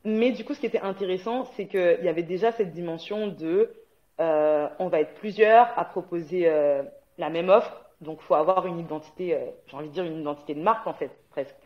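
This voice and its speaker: A woman's voice: on the low side, a little fast. A woman from Guadeloupe, an interview guest, talking casually with many hesitations and bursts of laughter. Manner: chatty, frequent hesitations and laughter. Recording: dull lo-fi sound.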